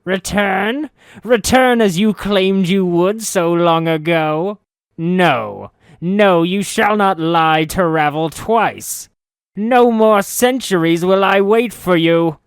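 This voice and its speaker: A man's voice: a little high, takes it slowly, a bit bright, clean tone, no room sound, clean studio sound, voice pushed up. A man voicing an ancient, possessive female witch who croons and cackles with imperious menace. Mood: shrieking.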